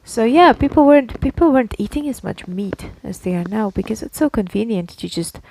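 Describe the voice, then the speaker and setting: A woman's voice: fairly high pitch, expressive, a little fast, creaky, darkish tone, a little hiss or hum, rough recording. A woman from Slovakia, co-hosting a casual podcast and explaining things in a chatty way, often checking that her listener follows. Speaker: podcast co-host. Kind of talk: casual conversational podcast chat.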